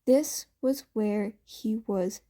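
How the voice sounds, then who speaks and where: A woman's voice: a little high, slow pace, dark tone. A woman reading scripted fan fiction narration.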